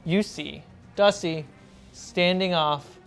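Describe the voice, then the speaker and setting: A woman's voice: lowish voice, takes it slowly. A woman acting as game master, narrating a tabletop role-playing game in a casual, conversational way. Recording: music behind the voice. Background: music.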